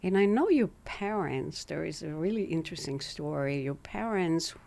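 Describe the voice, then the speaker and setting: A woman's voice: sits low, lively intonation, normal speed, vocal fry, a little mellow. A woman hosting an interview show, presenting her guest and asking questions. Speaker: interviewer and host. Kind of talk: scripted introduction and interview.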